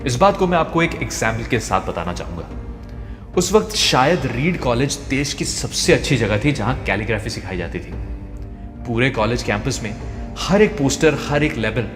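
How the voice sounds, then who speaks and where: A man reading a scripted motivational story in a measured, storytelling way. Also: mid-range voice, lively intonation. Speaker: narrator. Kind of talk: scripted motivational narration of a translated speech. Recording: echoey, long reverb, music behind. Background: background music.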